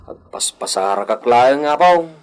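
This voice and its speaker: A man playing an excitable, eager villager spreading gossip in a radio drama. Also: medium pitch, measured pace, clear, resonant tone, natural voice. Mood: excited.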